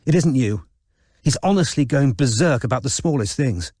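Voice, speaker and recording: A man's voice: medium pitch, expressive, normal speed, mellow, breathy edge, no echo, clean studio sound, voice pushed up. A male narrator voicing a chatty, upper-class young woman in an audiobook. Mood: gossipy.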